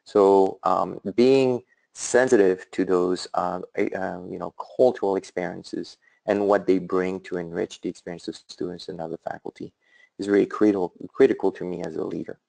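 A middle-aged man with a European accent giving a webinar talk as an academic leader, thoughtful and halting, with frequent fillers and restarts. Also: low voice, steady tone, vocal fry, warm tone, dry recording, rough recording. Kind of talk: webinar presentation.

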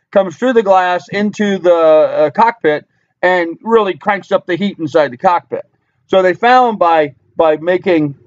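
A man's voice: a little high, dry recording. A middle-aged American man from Long Island, New York, talking viewers casually through what he sees in a rambling, repetitive way. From Long Island, New York, USA. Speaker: vlogger. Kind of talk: casual talk-through commentary. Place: small cluttered wooden-ceilinged room indoors. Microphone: computer webcam at desk distance. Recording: dull webcam microphone sound.

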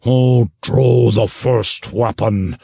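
A man voicing a menacing male gnoll ship captain, speaking slowly with a growl. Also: medium pitch, steady tone, creaky, warm tone, husky, no echo, pitched above the performer's own voice, exaggerated voice. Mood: demanding.

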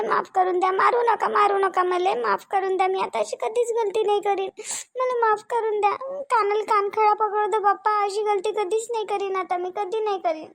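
Woman acting a high-voiced, pleading village wife. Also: gravelly. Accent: rural Vidarbha Marathi. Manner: pleading, repeats phrases. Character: tearful, frightened village wife begging forgiveness. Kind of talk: amateur Marathi village family drama.

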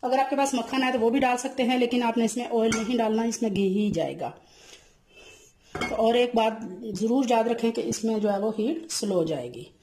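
A woman, hosting a cooking channel and narrating a recipe step by step, often filling pauses with "jo hai vo". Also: fairly high pitch, a little hiss or hum. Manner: leans on the filler "jo hai vo", gentle instructive tone.

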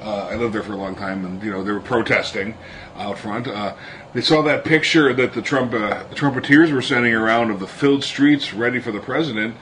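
A man's voice: on the low side, brisk, a bit bright, a little hiss or hum. A man co-hosting a casual political podcast, making wry jokes as he talks. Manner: wry, joking asides. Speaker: podcast co-host.

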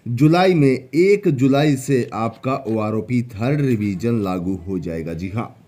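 A man with a soft-edged, mellow voice at an average pitch presents an explanatory update as a channel host. He stresses his points and often repeats key phrases as he walks through the details. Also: lively intonation, measured pace. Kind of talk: explanatory news commentary over slides.

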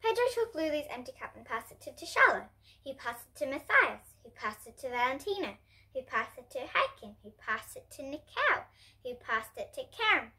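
A young girl of about ten to twelve reading a children's storybook aloud in an expressive way. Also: high-pitched, normal speed, slight background noise. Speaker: host reading picture books for kids. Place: indoor room in front of bookshelves.

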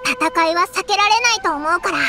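A squeaky tiny fairy sidekick. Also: female voice, gravelly. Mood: eager. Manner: squeaky, fast, excited chatter. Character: tiny floating female fairy companion, chirpy and eager. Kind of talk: Japanese game voice acting. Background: game music.

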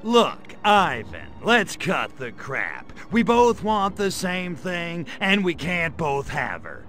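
A man voicing a sarcastic gray alien invader in a video game cutscene, delivering deadpan quips. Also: sits high, slow pace, soft background music, exaggerated voice. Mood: sardonic.

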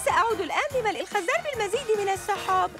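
Gloating girl supervillain for a kids' cartoon: very high, mischievous, commanding, over music. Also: expressive, rapid-fire. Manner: taunting, commanding. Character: gloating young girl villain, bossy and mischievous. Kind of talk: dubbed children's cartoon acting. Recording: loud music behind.

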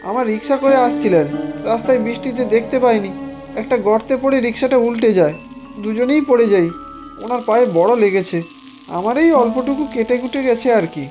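A woman's voice: on the high side, fairly quick, music in the background, natural voice. Woman voicing a humble, earnest rickshaw driver. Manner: deferential, earnest. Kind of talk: Bengali cartoon voice acting.

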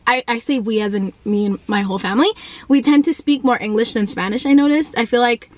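A woman's voice: fairly high pitch, quick pace, no echo. Chatty young woman vlogging from a car. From United States. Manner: chatty, upbeat, lots of ums and likes. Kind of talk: casual vlog chat. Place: parked car, indoors at first. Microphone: camera held at arm's length.

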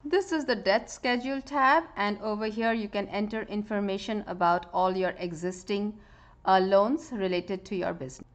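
A woman gives a relaxed screen-recorded walkthrough of a spreadsheet. Her voice sits in a middle pitch, and she talks in a steady, explanatory way with frequent "uh" fillers. Keyboard typing can be heard under her voice. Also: normal speed. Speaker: software tutorial presenter.

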